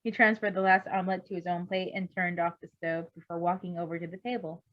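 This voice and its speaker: A woman reading a story aloud in an expressive, amateur storytelling style. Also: on the low side, quick pace. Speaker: fan fiction reader. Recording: dull lo-fi recording.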